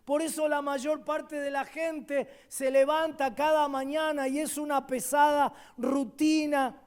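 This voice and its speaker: High-voiced Argentine preacher, unhurried. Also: male voice, level tone. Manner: emphatic preaching, swinging between loud declarations and quiet asides. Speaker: guest pastor. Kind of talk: sermon. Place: church service.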